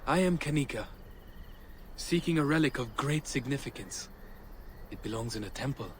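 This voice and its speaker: An actor playing a driven, scholarly relic seeker of ancient Egypt in a video game, speaking formally and with earnest intensity. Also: male voice, medium pitch, expressive, normal speed, a little hiss or hum, lightly acted. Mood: earnest, secretive. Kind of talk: video game cutscene acting. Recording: game music behind the voice.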